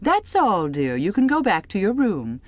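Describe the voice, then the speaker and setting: Audiobook part, done by a woman: matronly high-status wife, falsely sweet, patronizing, genteel, pitched up and very animated. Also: moderate pace, dry recording. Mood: politely dismissive. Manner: falsely kind, genteel, condescending.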